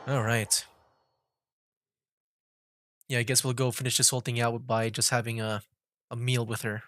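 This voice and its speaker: A man's voice: medium pitch, little pitch movement, normal speed, fairly bright tone, no echo. A young adult man giving casual livestream gameplay commentary as a game streamer. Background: game music.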